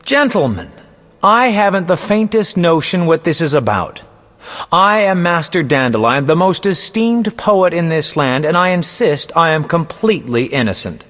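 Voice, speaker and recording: A man's voice: fairly high pitch, expressive, normal speed, a bit bright, in a large hall. A man playing a vain, roguish poet and bard in a video game, speaking in a theatrical, florid, self-important way. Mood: indignant, pleading. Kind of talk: video game voice acting, storytelling.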